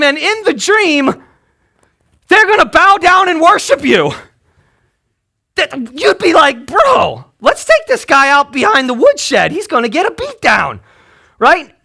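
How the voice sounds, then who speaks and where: A male pastor with a very high, bright voice delivers a conversational sermon. His pitch swings widely as he tells the story with emphasis. He keeps the wording colloquial and often checks in with short tag questions. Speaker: pastor preaching.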